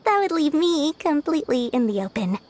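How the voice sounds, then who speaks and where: A woman voicing a greedy, cheerful young female merchant with a coaxing sales-pitch manner in a video game. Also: big pitch swings, a little fast, a little mellow, slight background noise. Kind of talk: game voice acting.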